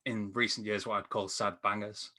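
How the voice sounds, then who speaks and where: A man's voice: on the low side, little pitch movement, normal speed, bright, forceful tone. A man chatting casually about films as a panelist, rambling and enthusiastic. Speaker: podcast panelist reviewing films. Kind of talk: casual film discussion chat. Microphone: remote call microphone.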